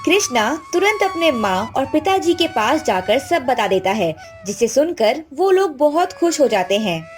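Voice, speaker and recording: A woman's voice: high voice, very animated, a little slow, few pauses. A woman narrator reading a scripted moral tale for a cartoon. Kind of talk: scripted children's story narration. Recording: music bed behind the voice. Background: background music.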